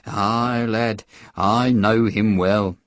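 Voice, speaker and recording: A man voicing a boastful, jovial recruiting sergeant who flatters young men with a theatrical, wheedling manner. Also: fairly low pitch, drawn-out words.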